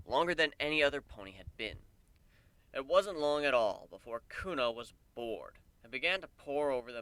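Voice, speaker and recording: A man narrating a story as a dramatic reading, expressive and deliberate in his telling. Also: medium pitch, clear, resonant tone, no room sound.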